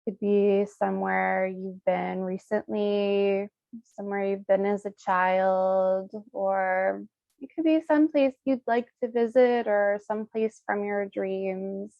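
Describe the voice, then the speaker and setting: Slow, gravelly-edged woman guiding meditation. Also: medium pitch, steady tone, dry recording, muffled recording. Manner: soothing, unhurried, pausing between short phrases. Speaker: Reiki practitioner leading guided meditation. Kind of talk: guided meditation narration.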